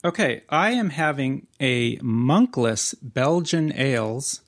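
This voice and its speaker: Man, podcast co-host, gravelly, dry close mic, joking casual chat. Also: mid-range voice, expressive, slow, no room sound. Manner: jokey, reads labels aloud.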